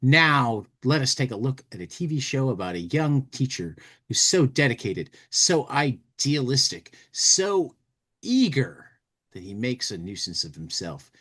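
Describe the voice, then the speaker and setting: Guy doing expressive read-alouds n casual commentary, voice moves around a lot. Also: medium pitch, a little slow. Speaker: podcast host. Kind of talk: casual commentary and dramatic reading of a comic magazine.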